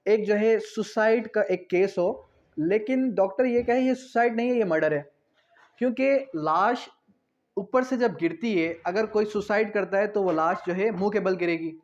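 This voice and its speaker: Law lecturer, male, notably high register, methodical and repetitive teaching delivery, slightly muffled low-fidelity sound. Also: normal speed. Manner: repetitive, explanatory, asks and answers own questions. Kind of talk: lecture explaining statute with examples.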